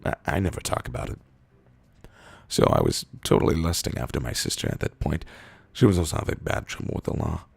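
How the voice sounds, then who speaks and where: Male reader for comic monologues: husky, very creaky, deadpan delivery with drawn-out pauses, recorded dry. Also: extremely low pitch, no room sound. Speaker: reader of internet copypasta posts. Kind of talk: deadpan comedic reading of written posts.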